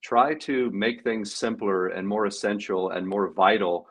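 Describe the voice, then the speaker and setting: A man's voice: on the low side, steady tone, unhurried, a bit bright, slightly rough. A man of about forty on a video call panel, a life coach sharing advice in a reflective, loose way with many fillers. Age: 40s. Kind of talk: casual panel chat.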